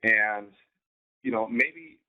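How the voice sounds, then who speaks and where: A man co-hosting an informal instructional talk as a business coach, speaking haltingly with repeated words and frequent "right?" check-ins. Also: fairly low pitch, bright, forceful tone. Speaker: co-host, online business coach. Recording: thin, phone-like band-limited sound.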